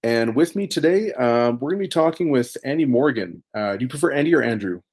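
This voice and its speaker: A man of middle pitch hosts a podcast in a casual, upbeat tone. He puts friendly questions to his guest and drops in an occasional um or uh. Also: expressive, fairly quick, a little mellow. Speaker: podcast host.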